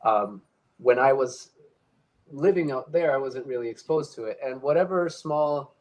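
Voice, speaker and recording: A man's voice: medium pitch, a little slow, a little mellow. Reflective man from Ecuador, many fillers. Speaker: guest. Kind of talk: casual conversation, personal story.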